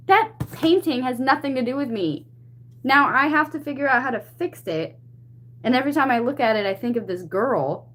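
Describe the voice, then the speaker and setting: Animated, chatty woman telling a story. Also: mid-range voice. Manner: animated, chatty retelling with acted-out quotes. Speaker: livestream host. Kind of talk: casual anecdote to stream viewers.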